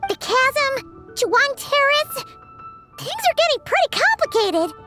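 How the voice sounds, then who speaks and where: A woman voicing a tiny, cheerful, excitable childlike fairy companion in a video game. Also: slow pace, soft background music, heavily acted. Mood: curious, surprised. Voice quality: squeaky, childlike.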